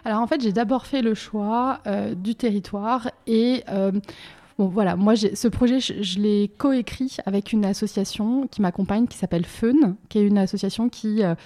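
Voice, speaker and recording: Mellow-voiced woman, searching as she explains. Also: medium pitch, moderate pace. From Bordeaux, France. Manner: hesitant, searching, often repeats words as thoughts form. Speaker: interviewed independent curator and art school teacher. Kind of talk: informal radio interview, explaining a project.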